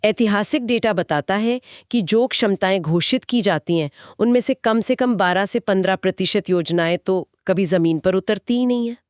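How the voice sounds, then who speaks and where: A woman's voice: medium pitch, moderate pace, hardly any pauses, darkish tone, no echo, studio quality. A woman with an Indian accent in a conversational explainer podcast, speaking in an assured, explanatory way as co-host. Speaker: co-host explaining company financials.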